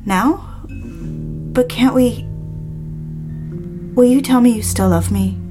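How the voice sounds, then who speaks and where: A woman's voice: a little high, slow, music in the background. An actress playing an anxious, lovelorn woman with wounded pride in a period melodrama, genteel and dramatic. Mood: anxious, pleading. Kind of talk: period melodrama acting with first-person narration.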